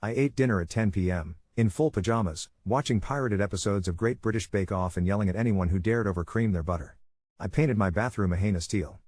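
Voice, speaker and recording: Fast-reading low-voiced male narrator. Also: darkish tone, dry recording, clean studio sound. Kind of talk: scripted narration of an online story.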